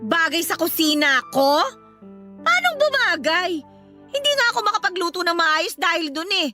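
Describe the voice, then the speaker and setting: A woman's voice: extremely high pitch, sing-song, normal speed, pushed and bright, exaggerated voice. An actress with a Filipino accent playing a bitter, resentful woman who needles her housemate with sarcasm in a radio drama. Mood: irritated. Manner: sarcastic, confrontational. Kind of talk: Tagalog radio drama acting. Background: background music.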